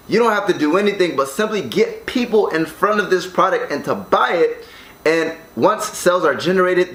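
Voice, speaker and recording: An energetic American man pitching online work straight to his viewers, with a slightly high voice. He talks in a salesy, upbeat way and keeps checking in with "right?". The sound bounces around a bare, echoey room. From United States. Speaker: online business vlogger. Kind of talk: casual direct-to-camera vlog. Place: condo room.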